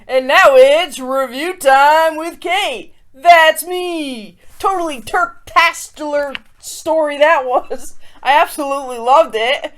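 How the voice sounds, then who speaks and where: A woman with a fairly high voice hosts a story time for children. She is expressive in her storytelling and chatty delivery, addressing her young listeners directly. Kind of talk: read-aloud picture book storytelling and chatty review for kids.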